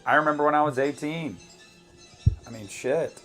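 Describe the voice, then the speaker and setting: A man in his twenties co-hosting a live movie commentary, chatting casually with viewers in a relaxed way. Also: on the high side, normal speed, faint music behind. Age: 20s. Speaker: co-host of a movie commentary channel.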